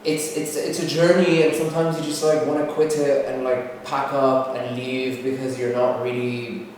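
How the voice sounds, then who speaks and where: A man's voice: mid-range voice, flat delivery, moderate pace, a little mellow, slight background noise. Thoughtful man from Egypt, distant and echoey. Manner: thoughtful, agreeing, frequent hums and fillers. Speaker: theatre director. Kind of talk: casual conversation. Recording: very echoey room heard from far off.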